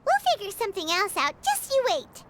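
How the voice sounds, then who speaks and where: A woman voicing a tiny, excitable female floating companion who talks about herself by name in a bubbly way. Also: extremely high pitch, a little fast, noisy background, full character voice. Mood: encouraging.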